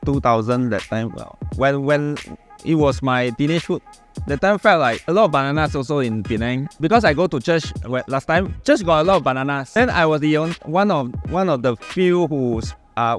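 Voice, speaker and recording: Man, Malaysian English accent, teasing, lively pitch, faint music. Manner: teasing, switches into Mandarin and Cantonese. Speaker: guest. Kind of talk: casual chat.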